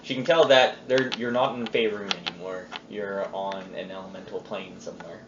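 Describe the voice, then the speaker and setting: Low-voiced man game mastering, noisy echoey recording. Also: fairly even intonation, normal speed. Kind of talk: narrating a live tabletop game, off the cuff. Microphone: phone recording the group. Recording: noisy muffled phone recording in echoey room.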